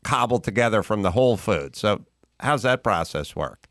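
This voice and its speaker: Male host, even interviewer tone, dry close sound. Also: on the low side, moderate pace, no echo. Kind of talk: interview questions.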